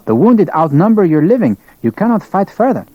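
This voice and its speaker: A grave, courteous cavalry captain , as a man puts him on speaks gently and formally. The recording is a little dull, as on an old audiobook. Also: high voice, big pitch swings, fairly quick, pitched above the performer's own voice. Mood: gentle. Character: polite grave French male cavalry captain.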